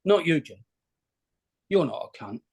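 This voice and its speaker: Man playing a husky, drunk, bitter comedian. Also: a little high, very animated, a little slow, full character voice. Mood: drunken confiding. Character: drunk, bitter, dishevelled late-forties male comedian. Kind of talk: screenplay read-through acting.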